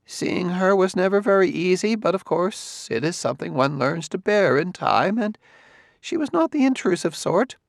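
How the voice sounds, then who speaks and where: Male narrator putting on a fussy, squeamish older scholar; high, wavering, gravelly edges, dry close sound. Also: wildly swooping pitch, moderate pace, no room sound, full character voice. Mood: uneasy. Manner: high, shrinking, hesitant delivery. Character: nervous aging male sociologist, fussy and squeamish.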